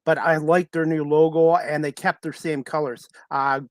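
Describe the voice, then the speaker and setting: A man in his forties hosting a casual sports talk show, speaking in a halting but keen fan's manner. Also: a little high, level tone, no room sound, clean studio sound. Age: 40s.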